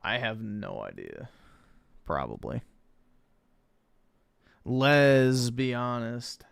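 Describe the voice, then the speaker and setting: Slow, gravelly man with deadpan sarcasm. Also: mid-range voice, dry recording, studio quality. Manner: blunt, crude and sarcastic. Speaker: streamer reacting to clips. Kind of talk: casual stream commentary.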